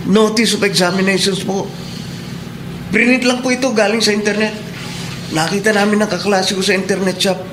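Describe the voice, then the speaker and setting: A man's voice: high voice, steady tone, moderate pace, long pauses, noisy background, natural voice. A man playing a polite, hopeful young man in a Filipino radio drama, earnest and deferential. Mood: eager. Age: young adult.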